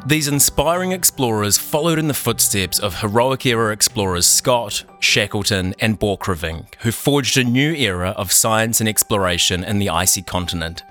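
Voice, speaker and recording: Young male narrator, low-pitched, measured documentary read. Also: a bit bright, soft background music. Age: young adult. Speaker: documentary narrator and team member.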